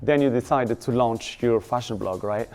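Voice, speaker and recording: A man working as a showbiz reporter, putting brisk interview questions to his guest. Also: mid-range voice, darkish tone, faint music behind.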